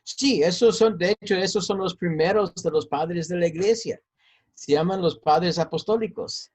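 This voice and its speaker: Man, pastor, American-Mexican accent, slightly high voice, halting with fillers. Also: darkish tone, husky. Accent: mix of American and Mexican Spanish. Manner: talkative, hesitant with many fillers and repeats. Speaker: pastor and seminary teacher. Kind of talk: interview answers.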